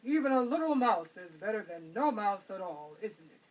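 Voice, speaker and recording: A woman voicing a big, proud male lion who speaks slowly and boomingly in a storybook reading. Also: sing-song, darkish tone, far from the mic, low-quality recording. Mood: gloating.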